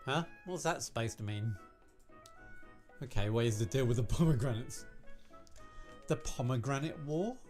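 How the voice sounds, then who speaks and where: A man's voice: medium pitch, animated, normal speed, long pauses, darkish tone, soft background music, lightly acted. A man voicing an earnest, hesitant young man in a visual novel. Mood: puzzled.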